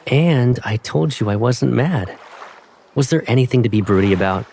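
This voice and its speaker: A man voicing an affectionate, protective young man who teases gently, in a fan dub of a romance game. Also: mid-range voice, animated, moderate pace, gravelly, faint music behind. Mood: playful, reminiscing.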